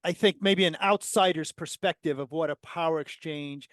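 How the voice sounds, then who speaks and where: A man co-hosting a podcast, asking questions in a relaxed conversational way. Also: a little high, normal speed, dry recording, clean studio sound. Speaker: podcast co-host. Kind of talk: casual podcast interview.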